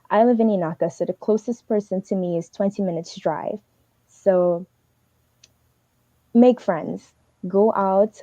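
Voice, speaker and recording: A young woman from Trinidad and Tobago, giving fast, earnest advice as a teaching assistant on a livestream. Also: on the low side, mellow, no echo, muffled recording. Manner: fast, earnest, advising directly.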